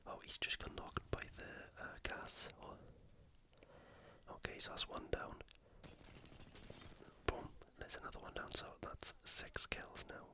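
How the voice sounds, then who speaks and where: An ASMR host speaking casually in a breathy, unvoiced whisper, giving commentary on sport and gameplay. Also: unhurried, long pauses, pushed and bright. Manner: casual, conversational.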